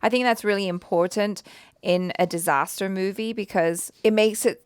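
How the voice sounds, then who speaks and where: A woman's voice: medium pitch, normal speed, clear, resonant tone, no room sound, studio quality. A woman who grew up in Indonesia, co-hosting a movie reaction chat, talking enthusiastically with many "like"s and "you know"s. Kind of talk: casual chat. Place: Los Angeles home studio.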